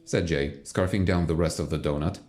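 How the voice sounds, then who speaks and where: A man's voice: very deep voice, fairly even intonation, fairly quick. A man narrating a scripted science fiction audio drama as its storyteller. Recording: music bed under the voice.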